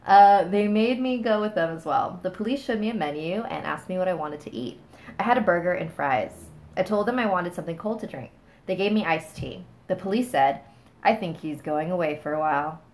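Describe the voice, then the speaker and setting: A woman's voice: fairly low pitch, lively intonation, fairly quick, darkish tone. A woman hosting a casual livestream, chatting with viewers and reading scary stories, a bit stuffy-nosed, with a chatty, giggly manner. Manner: chatty, thanks viewers, laughs, trails off. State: stuffy nose. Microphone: phone on a small stand.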